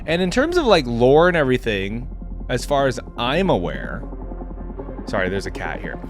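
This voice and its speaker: Male gaming reactor, mid-pitched voice, chatty, thinks aloud, clear music underneath. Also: wildly swooping pitch, moderate pace. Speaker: host of a gaming reaction channel. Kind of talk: casual reaction commentary. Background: game theme music.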